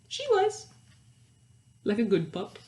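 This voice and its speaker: A woman reading a picture book aloud to young children, expressively, pausing for chatty explanations and questions. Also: mid-range voice, huge pitch swings, a little mellow, reverberant, slight background noise. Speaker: children's storytime reader.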